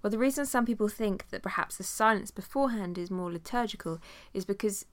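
A woman's voice: medium pitch, a little fast, dry recording. Nasal woman with a cold teaching. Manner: chatty with fillers, reads passages aloud. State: has a cold. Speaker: Bible study teacher. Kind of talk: informal Bible teaching.

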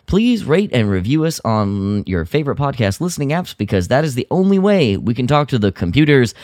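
A man chats casually as a podcast co-host. His voice moves in lively swoops around a middling pitch, with a playful, exaggerated way of arguing. The sound is dry and close. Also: no room sound. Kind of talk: casual chat about a radio drama.

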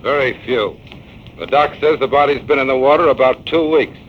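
A man's voice: mid-range voice, brisk, natural voice. A man playing a curt, impatient police inspector. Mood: gruff. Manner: curt, blunt orders. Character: gruff middle-aged male police inspector, impatient. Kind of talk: 1940s radio acting. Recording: dull old radio transcription with faint hiss.

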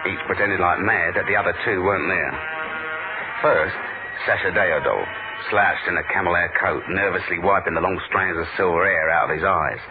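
An actor playing a world-weary, wry British spy with a dry, deadpan delivery in a radio thriller. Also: male voice, sits low, moderate pace, narrow, tinny audio. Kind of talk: 1970s radio spy drama narration. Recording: thin old radio recording, loud music.

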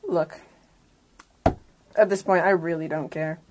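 A woman's voice: fairly low pitch, steady tone, unhurried. A woman playing a tabletop roleplaying game, chatting casually in and out of character, with some noise behind.

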